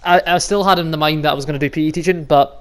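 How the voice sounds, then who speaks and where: A young Highland man with a Scottish accent talks straight to his channel's viewers, rambling and swearing as he goes. His voice sits a little high for a man and comes across smooth and clear. He laughs easily between thoughts. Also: fairly even intonation, racing, fairly bright tone. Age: 20s. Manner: chatty and rambling, swears freely, laughs often. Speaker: vlogger and youth football coach. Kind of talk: casual monologue to camera.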